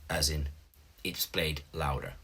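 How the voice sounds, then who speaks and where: A man's voice: a bass voice, fairly even intonation, measured pace, loud music behind the voice. A man in his thirties with a Finnish accent, patiently explaining drum notation step by step as a teacher, with small slips in his English. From Finland. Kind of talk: instructional explanation.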